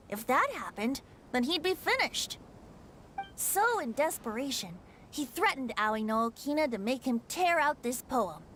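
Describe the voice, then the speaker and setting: A woman's voice: high voice, a bit bright, slight background noise. A woman voicing a playful young male bard in a video game, knowing and theatrical in delivery. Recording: faint music behind.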